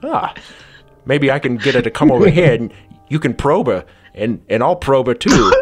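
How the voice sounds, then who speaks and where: A man acts out a flustered detective in a spoof radio play. He stammers and dodges, with very lively swings of pitch. Faint music plays behind him. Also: moderate pace, slightly rough. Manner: stuttering, nervous, evasive. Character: flustered, stammering, shady male private detective. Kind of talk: improvised radio drama parody.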